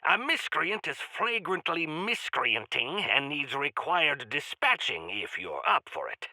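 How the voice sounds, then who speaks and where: Grandly theatrical, wildly swooping quest-giver. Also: male voice, on the high side, big pitch swings, measured pace, pushed and bright, no echo, heavily acted, rough recording. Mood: brisk, wry. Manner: grandiloquent, courtly, florid phrasing with wry asides. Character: genial pompous male quest-giver of a mountain order, theatrically grateful. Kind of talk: theatrical video game character acting.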